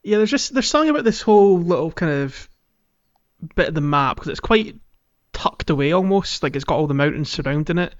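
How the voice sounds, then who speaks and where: A man co-hosting a podcast, chatting casually about video games in a halting, rambling way. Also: fairly high pitch, animated, lo-fi sound. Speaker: podcast co-host. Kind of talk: casual video game playalong chat.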